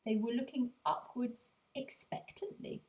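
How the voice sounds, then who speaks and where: A woman's voice: fairly high pitch, unhurried, darkish tone. A woman narrating a children's audiobook as a storyteller, with confiding asides to the listener.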